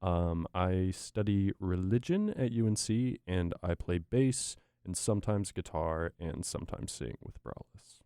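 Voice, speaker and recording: Deep, mellow young man. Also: unhurried, hardly any pauses, smooth, no echo, studio quality. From Asheville, North Carolina. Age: young adult. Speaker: band bassist, student. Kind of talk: casual interview chat.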